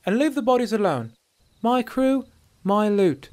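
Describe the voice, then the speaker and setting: A man voicing a sly female dark elf bandit in a fantasy story, brash, sardonic and bossy. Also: high voice, expressive, unhurried, voice pushed up. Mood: bossy, taunting.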